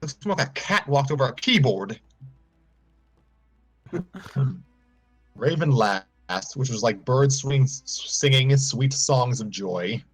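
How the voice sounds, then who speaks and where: Male streamer, lively comedic story reader with frequent asides, background music. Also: mid-range voice, slow, pauses often, breathy edge. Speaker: streamer reading fan fiction aloud.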